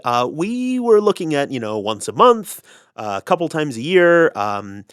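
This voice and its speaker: A man's voice: medium pitch, smooth, no echo, clean studio sound. A man speaking as an interview guest, explaining a creative collaboration in a conversational way.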